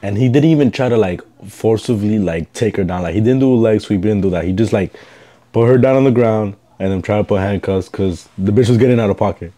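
A man's voice: fairly low pitch, fast, mellow. A young man with a congested, stuffy voice, giving slangy, blunt reaction commentary into a headset mic. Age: young adult. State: congested with a sore throat. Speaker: reaction video host. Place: bedroom studio, indoors.

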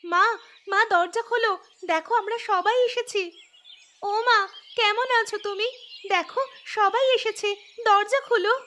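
Woman doing a high-voiced young cartoon wife, pushy and snappy, music behind. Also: sharp tone, slight background noise, heavily acted. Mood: calling. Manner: insistent, quick-tempered. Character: devoted young wife and daughter.

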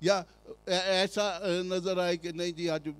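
A man with a Pakistani Urdu accent preaching from the pulpit, his voice sitting somewhat high. He takes his time, leaning on rhetorical questions and pausing often to hesitate before pressing each point. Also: level tone, clear, resonant tone. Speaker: pastor. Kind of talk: sermon.

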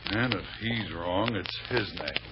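A man's voice: on the low side, a little slow, close to an everyday voice. An American man acting in an old radio drama as a dogged police sergeant, speaking in clipped tough-cop slang and deferring to his superior. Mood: resigned, grudging. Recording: dull, noisy vintage radio recording.

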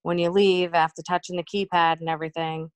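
A woman's voice: lowish voice, little pitch movement, brisk, clean tone, dry recording. An American woman speaking informally as a participant in a meeting held by video call.

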